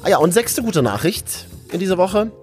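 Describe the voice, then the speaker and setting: A man's voice: high-pitched, sing-song, normal speed, darkish tone, faint music behind. A man from Berlin hosting a local news show in a cheerful, casual way, full of jokey asides. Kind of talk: casual upbeat news chat.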